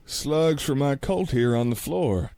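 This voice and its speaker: A man's voice: medium pitch, lively intonation, measured pace, warm tone, dry recording. A man acting a plucky, earnest cowboy with a drawl in a radio play. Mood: grim, rueful. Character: plucky male cowboy gunslinger, rough-spoken and earnest.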